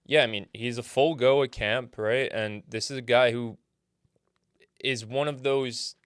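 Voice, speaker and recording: A man's voice: mid-range voice, fairly even intonation, a little slow, a bit bright, clear, resonant tone, no room sound, studio quality. A man co-hosting a casual fantasy football chat, sniffling with a cold and speaking in an opinionated way, often saying "like" and "right". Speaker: co-host.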